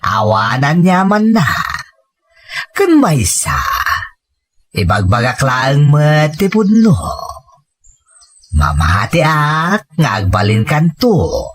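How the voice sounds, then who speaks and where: Man acting a solemn, deliberate older rebel mentor. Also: a little high, huge pitch swings, a little hiss or hum, full character voice. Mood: earnest, persuasive. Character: older rebel mentor, earnest, encouraging, addressed as elder. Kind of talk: audio drama acting.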